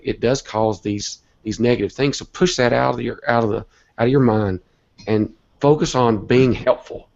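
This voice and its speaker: A man with a cold and a hoarse voice, talking earnestly and a bit rambling as an interview guest. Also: fairly low pitch, darkish tone. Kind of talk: casual online interview talk. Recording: dull lo-fi web call audio.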